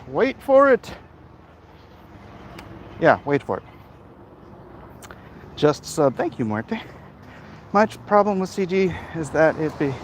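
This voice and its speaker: A man talking casually off the cuff in a rambling, opinionated, halting way, like a livestream host commenting and answering chat. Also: a little high. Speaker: host of a livestream vlog, comic creator. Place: outdoors, walking in an urban area. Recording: clear outdoor traffic and street noise.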